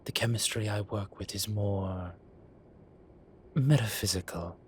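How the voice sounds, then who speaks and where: Guy doing an old demon professor incubus for audio rp, really slow talker, dry n fed up, kinda flustered, noisy background. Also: fairly low pitch, steady tone, natural voice. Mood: wry, weary. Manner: halting, sighing, dry, slightly flustered. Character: ancient male incubus demon professor, bookish, exasperated, dryly teasing. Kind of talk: audio roleplay acting, intimate one-sided dialogue.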